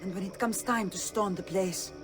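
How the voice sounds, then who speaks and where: A woman's voice: mid-range voice, moderate pace, lightly acted. An actress playing a spirited, playful female thief and mentor in a video game. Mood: brisk, encouraging. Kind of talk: video game cutscene acting. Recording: game dialogue under loud music.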